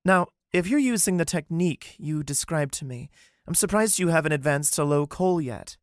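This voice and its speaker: A man's voice: on the high side, animated, normal speed, no room sound, clean studio sound, voice pushed up, close to an everyday voice. A man voicing a shy, earnest young woman mage. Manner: hesitant, earnest.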